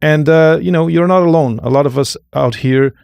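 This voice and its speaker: A man hosting a conversational podcast interview, often halting and rephrasing as he goes. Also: fairly high pitch, creaky, darkish tone, clear, resonant tone, no echo, studio quality. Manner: halting, rephrases and repeats phrases. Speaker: podcast host.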